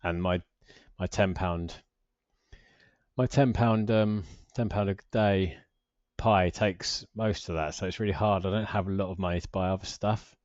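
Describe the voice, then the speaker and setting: A man's voice: sits low, fairly even intonation, normal speed, a little mellow, dry recording, highs cut off. A British man in his forties giving a casual, unscripted finance vlog commentary in a hesitant, rambling, self-deprecating way. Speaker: personal finance vlogger.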